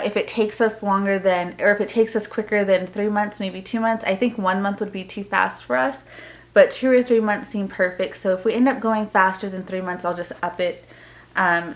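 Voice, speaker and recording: A woman's voice: medium pitch, fairly even intonation, normal speed, fairly bright tone. A woman vlogger giving an informal, explanatory unboxing, chatting casually and reading printed bits aloud as she goes. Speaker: homeschooling parent vlogger.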